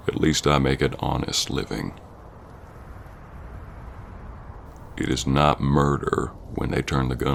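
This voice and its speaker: Extremely low and heavy with gravel, this is a gruff Old West bounty hunter acted by a man in an audio roleplay. He speaks with stern, gentlemanly menace and folksy grammar. Clear background noise and ambience sit behind the voice. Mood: indignant. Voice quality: very deep, gravelly. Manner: slow-burning, stern gentlemanly drawling talk with folksy grammar. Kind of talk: ASMR roleplay acting addressed to the listener.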